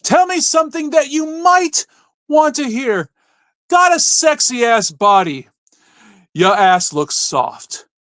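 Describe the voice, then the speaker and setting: A man's voice: very high-pitched, very animated, slow, fairly bright tone, pitched above the performer's own voice, heavily acted. A man performing a leering suitor in a theatrical, mock-refined dramatic poetry reading. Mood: theatrical, flirtatious.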